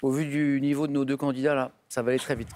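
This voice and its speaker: A man's voice: medium pitch, little pitch movement, fast, noisy background. A man hosting a television quiz show in a studio, upbeat and chatty, reading clues with emphasis. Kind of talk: lively game show hosting.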